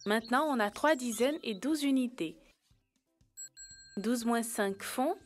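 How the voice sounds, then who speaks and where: Helpful young cartoon animal, high voice, slow step-by-step explaining, earnest, music behind. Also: female voice. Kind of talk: French educational children's cartoon dialogue.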